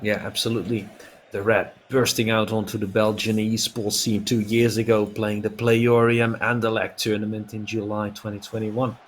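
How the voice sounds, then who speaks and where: A man's voice: on the low side, level tone, normal speed. A man giving live play-by-play commentary on a football video game as an esports commentator.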